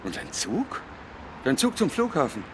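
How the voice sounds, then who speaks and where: An actor playing a brisk, dismissive hotel co-worker who speaks to the maid in short, offhand lines. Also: male voice, mid-range voice, wildly swooping pitch. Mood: curt. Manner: curt, offhand. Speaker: colleague of the maid. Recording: noisy background ambience.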